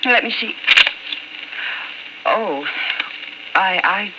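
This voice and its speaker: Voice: mid-range voice, expressive, extremely slow. A performer playing a reluctant, apologetic female bookkeeper in an old-time radio drama, speaking hesitantly. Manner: hesitant, soft-spoken, apologetic. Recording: dull, noisy old radio recording.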